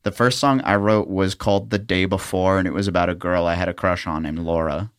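A man's voice: low-pitched, steady tone, a little fast, clear, resonant tone, no echo, studio quality. A young man hosting a podcast, chatting casually and teasing his guest with jokes. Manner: jokey, teasing, laughs often. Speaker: podcast host. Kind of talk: casual interview chat.

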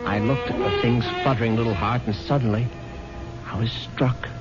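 A man's voice: medium pitch, steady tone, moderate pace, close to an everyday voice. An actor playing a smug, urbane rich widower who narrates his own scheme with dry irony in a 1970s radio drama. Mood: shaken. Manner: self-satisfied, urbane, drily ironic. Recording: muffled vintage radio broadcast, loud music behind.

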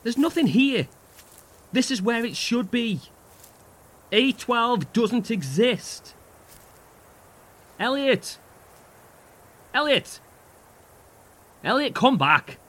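A man's voice: very high voice, lively intonation, very slow, long pauses, heavily acted. An actor playing a desperate young man, calling after his brother and begging him to come back. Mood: desperate shouting. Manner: frantic, pleading shouts.

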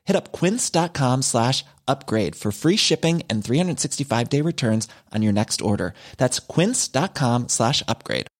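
A man gives an informal podcast ad read with very animated intonation. His voice sits in a medium range and follows a script in a host's style. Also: medium pitch, moderate pace, hardly any pauses. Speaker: podcast host reading an ad.

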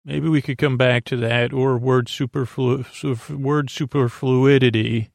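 Creaky-voiced man, meandering bedtime podcast host. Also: medium pitch, fairly even intonation, dry recording. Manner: meandering, halting, trips over words, repeats himself; gentle, reassuring. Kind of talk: rambling, soothing bedtime podcast monologue.

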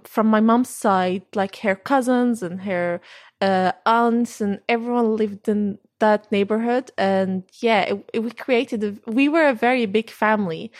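Young woman from Syria, interview guest, halting speech full of fillers. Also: medium pitch, darkish tone. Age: young adult. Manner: hesitant, many fillers and restarts. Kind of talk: casual interview answers.

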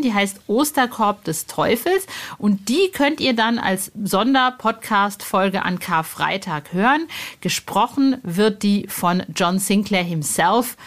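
A woman hosting a podcast in an informal, chatty, colloquial way with bubbly energy. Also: a little high. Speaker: podcast host. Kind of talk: casual chatty podcast presenting.

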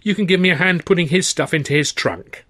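A man voicing a cheerful, chatty little landlady in a read-aloud story, brisk and motherly. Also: fairly high pitch, pushed and bright, no room sound, clean studio sound, pitched above the performer's own voice. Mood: cheerful chatter.

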